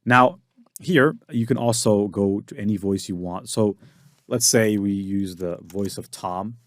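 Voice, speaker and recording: A man with a fairly low voice walks through a software tutorial in a loose, casual way. He often uses fillers and starts sentences over. His voice is dry and close to the mic. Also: unhurried, no echo. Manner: casual explaining with frequent fillers and restarts. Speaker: tutorial instructor.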